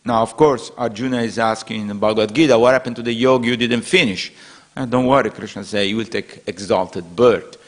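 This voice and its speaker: A man preaching in a temple hall at a middling pitch. His delivery is earnest and steady, built from short repeated phrases in slightly non-native English. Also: fairly quick. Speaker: preacher giving a scripture class. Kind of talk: devotional sermon.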